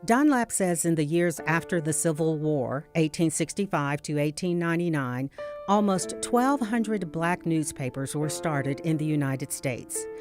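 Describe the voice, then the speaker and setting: A woman's voice: deep voice, animated, measured pace. A woman reading scripted documentary narration as the narrator. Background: guitar music.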